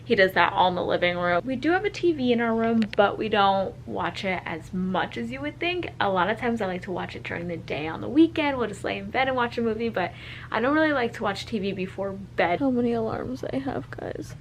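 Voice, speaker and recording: A young woman vlogging at home, chatty and relaxed, walking through her evening and morning routine and talking to her viewers. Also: a little high, slight background noise. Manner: chatty, relaxed, says "like" and "you guys" often. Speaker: lifestyle vlogger. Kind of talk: casual chat to camera, showing a routine. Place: her home, bedroom and kitchen.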